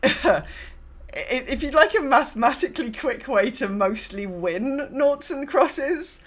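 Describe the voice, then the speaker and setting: A woman's voice: sits high, lively intonation, slow. A woman co-hosting a casual gaming podcast, speaking in a wry, understated and somewhat hesitant way. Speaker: podcast co-host.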